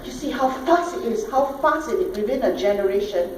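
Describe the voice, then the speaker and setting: A woman's voice: fairly high pitch, animated, brisk. A middle-aged woman from Singapore with a Singaporean accent, giving an emphatic conference talk full of rhetorical questions and checks like "all right?". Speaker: conference speaker. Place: conference hall. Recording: echoey hall, distant mic, faint noise.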